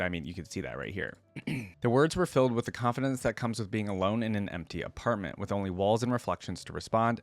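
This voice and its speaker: A man with a fairly low voice hosts a reaction video, reading story text aloud and adding casual commentary. The sound is close and dry, with very little room in it. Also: dry recording.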